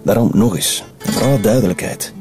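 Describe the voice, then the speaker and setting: An actor playing a stubborn, cynical, greedy blackmailer in a radio drama, with a Flemish accent. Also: male voice, lowish voice, brisk, over music, lightly acted. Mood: accusing, demanding.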